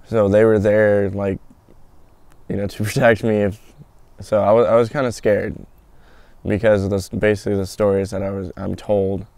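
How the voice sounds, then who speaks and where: A man's voice: fairly low pitch, little pitch movement, moderate pace, gravelly, darkish tone, no echo. A man in his early twenties casually telling his own story in an outdoor interview. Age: early 20s. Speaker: documentary interviewee. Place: outdoors in a garden.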